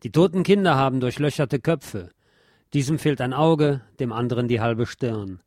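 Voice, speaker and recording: A man with a deep voice reading a scripted essay aloud in a measured, formal, literary manner. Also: a little mellow, no room sound, studio quality. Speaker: host reading essays on history.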